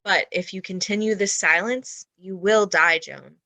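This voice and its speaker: A kind female defense lawyer , acted by a woman, with a bright, forceful voice. She is earnest and imploring, in the manner of a radio play. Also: mid-range voice, a little slow. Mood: urgent imploring. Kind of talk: old-time radio drama acting.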